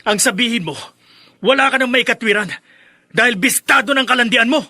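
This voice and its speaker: An actor playing an angry, bitter young adult son in a melodramatic Filipino radio drama, with a confrontational delivery and a Filipino accent. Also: male voice, very high-pitched, fairly quick, bright, forceful tone, no room sound, exaggerated voice. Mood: furious, threatening.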